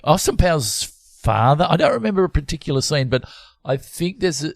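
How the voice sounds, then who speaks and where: A man's voice: on the high side, big pitch swings, brisk, vocal fry, dry recording. A man hosting a podcast, chatting casually in a playful, rambling way. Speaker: podcast host. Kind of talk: casual chat.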